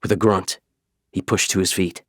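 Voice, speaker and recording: Male audiobook narrator, low pitch, measured, dramatic, dry close-miked studio sound. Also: flat delivery, a bit bright, no echo.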